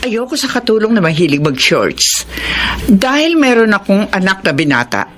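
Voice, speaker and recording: A woman's voice: mid-range voice, sing-song, normal speed, a crowd in the background, close to an everyday voice. A woman playing a strict, haughty lady of the house in a radio drama, giving firm, commanding instructions. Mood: stern. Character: strict, haughty rich mistress of the house, mother. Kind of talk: Filipino radio drama acting.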